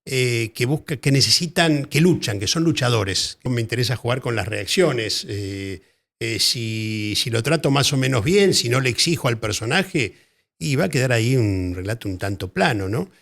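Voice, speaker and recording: Argentine guy, gravelly voice, chatting about his writing, lots of restarts and repeats. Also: medium pitch, lively intonation, moderate pace, fairly bright tone. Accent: Argentine Spanish. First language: Spanish. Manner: thoughtful, restarts and repeats words while searching for phrasing. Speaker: writer, interview guest. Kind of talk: casual interview conversation.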